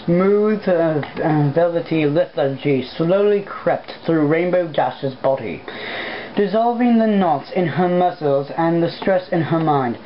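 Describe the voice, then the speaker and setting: A woman's voice: lowish voice, expressive, unhurried, dull audio, slight background noise. A woman casually reading a story aloud as an amateur, informal and hesitating mid-sentence. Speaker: amateur fan fiction reader.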